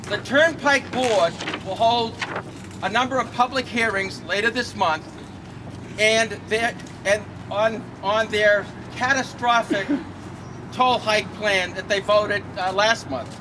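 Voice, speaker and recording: A man's voice: sits high, slow. A man giving a blunt, fact-packed speech at an outdoor rally without a microphone, stumbling over fillers and restarts. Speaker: rally speaker. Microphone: no working microphone, recorded from a distance. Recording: outdoor rally with loud traffic noise.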